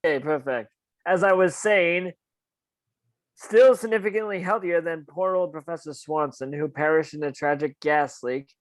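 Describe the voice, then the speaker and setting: A man's voice: on the high side, moderate pace, no room sound, close to an everyday voice, low-quality recording. A man playing a breezy senior spymaster in an amateur table read. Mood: breezy, unruffled.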